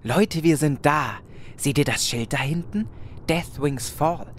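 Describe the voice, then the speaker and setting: A man's voice: on the high side, a little slow, slight background noise, lightly acted. A man playing an earnest adventurer hero in a parody fantasy audio drama. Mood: awed.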